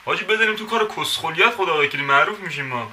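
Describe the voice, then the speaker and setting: A young man hosting a reaction video from a small bedroom, making quick joking asides with laughter. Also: on the high side, animated, over music. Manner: rapid, jokey, laughing asides. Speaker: reaction channel host. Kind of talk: casual reaction commentary. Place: small bedroom with wall tapestry.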